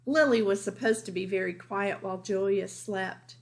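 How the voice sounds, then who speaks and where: A woman reading a picture book aloud as a teacher, deliberate and emphatic, for young children. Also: medium pitch, creaky. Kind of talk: picture-book read-aloud with informal asides.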